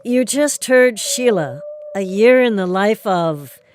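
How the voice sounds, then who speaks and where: A woman's voice: medium pitch, expressive, takes it slowly, a little mellow, a little hiss or hum. A woman hosting, reading scripted credits and introducing an interview. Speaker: host.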